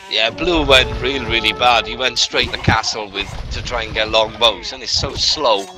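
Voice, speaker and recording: A man's voice: mid-range voice, steady tone, moderate pace. A man co-commentating a strategy game match, laughing often and giving blunt opinions on the players. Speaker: esports co-commentator. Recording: game music playing behind.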